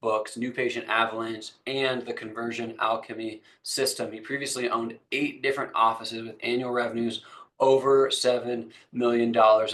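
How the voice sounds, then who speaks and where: A man's voice: mid-range voice, a bit bright, poor audio. A man hosting a webinar, introducing his guest with enthusiasm and a lot of repetition, speaking over a video call. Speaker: webinar host.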